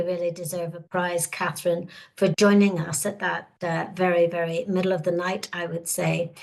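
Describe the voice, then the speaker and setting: Northern Irish woman hosting a webinar, lower voice. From Northern Ireland. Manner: hesitant, many fillers, welcoming. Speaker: webinar host. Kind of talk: online webinar introduction.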